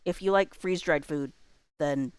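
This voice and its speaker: Woman streamer, low register, dry close sound, loose rambling delivery, thinks aloud in casual chat. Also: moderate pace, smooth, no room sound. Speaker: livestream host.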